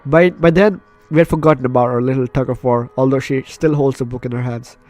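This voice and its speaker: Male let's player, medium pitch, reads story game narration aloud with casual playful reactions, faint music underneath. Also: fairly even intonation, a little fast, darkish tone. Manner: playful, chatty asides. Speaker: gaming YouTuber.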